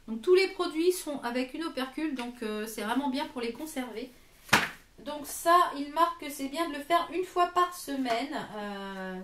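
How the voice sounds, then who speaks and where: A woman in her late thirties giving a casual product review in a chatty, hesitant manner, pausing to read labels aloud. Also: high-pitched, fairly bright tone, slight background noise. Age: late 30s. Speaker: beauty vlogger presenting a product box. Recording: echoey room.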